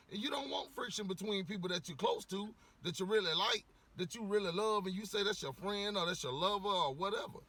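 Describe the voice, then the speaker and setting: A man ranting casually to camera, a little hoarse from losing his voice, rambling with lots of "you know" and swearing bluntly. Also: high voice, sharp tone. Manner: rambling, repeats words, frequent "you know". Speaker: vlogger giving opinions.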